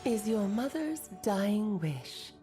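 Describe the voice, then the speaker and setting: A woman's voice: mid-range voice, big pitch swings, takes it slowly, over music. A woman playing an elegant, playful, motherly mage in a video game, speaking theatrically and articulately. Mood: warm, storytelling. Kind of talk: video game character acting.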